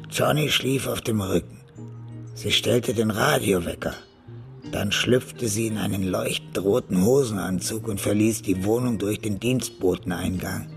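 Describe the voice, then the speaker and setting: A man narrating a radio crime play in a measured, grave way. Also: fairly low pitch, gravelly, music in the background.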